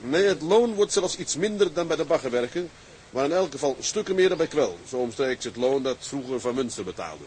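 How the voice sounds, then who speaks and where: A man's voice: medium pitch, sing-song, fairly quick, darkish tone, a little hiss or hum, lightly acted. An actor in a Dutch radio drama playing a genial, plain-spoken sea captain who addresses his men in a businesslike way. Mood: matter-of-fact.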